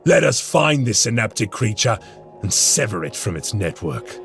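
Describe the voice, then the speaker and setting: Steadfast marine officer, gravelly, lively pitch, game cutscene. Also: male voice, loud music behind the voice. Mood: resolute. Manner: measured, resolute. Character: grave male space marine lieutenant, steadfast. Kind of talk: video game cutscene voice acting.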